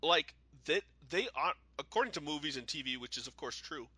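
Bright, dry-sounding rambling man. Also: fairly high pitch, expressive, fairly quick, slightly rough, no room sound. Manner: rambling, full of "like", trails off and restarts. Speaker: podcast co-host. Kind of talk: casual chat about a TV show.

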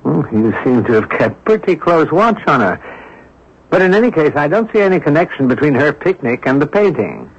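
An actor playing an observant, composed amateur sleuth in a radio mystery drama, reasoning aloud in a deliberate, inquisitive way. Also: male voice, fairly high pitch, big pitch swings, husky, full character voice. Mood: probing. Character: observant, composed amateur sleuth, a man puzzling over clues. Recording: dull lo-fi old radio broadcast recording.

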